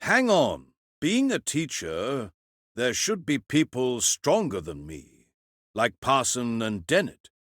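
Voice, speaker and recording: A man playing a struggling, deferential younger brother, a student warrior, speaking haltingly in an audio drama. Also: mid-range voice, huge pitch swings, fairly bright tone, no echo, studio quality, voice pushed down, heavily acted. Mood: hesitant, stunned.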